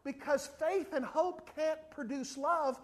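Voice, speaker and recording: A man's voice: very high voice, slow. A man preaching a sermon as an evangelist, telling a funny story and briefly acting out the people in it. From not from South Africa. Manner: animated storytelling, acts out other people's voices.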